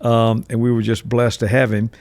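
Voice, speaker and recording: Older guy w gravelly voice, color commentator, dry close sound, takes his time. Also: medium pitch, flat delivery, a little mellow, no room sound. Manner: measured, halting recollection. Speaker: color announcer. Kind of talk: casual interview chat.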